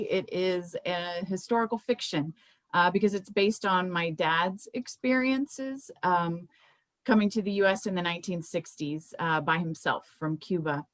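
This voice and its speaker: A woman's voice: medium pitch, no room sound. A middle-aged American woman speaking in a conversational, informal way, as an author presenting her books. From Miami, United States.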